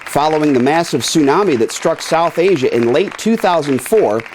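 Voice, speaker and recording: An American man reading scripted newsreel narration in a measured announcer's delivery. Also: on the high side, animated, a little mellow, a faint crowd, slight background noise, poor audio. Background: music.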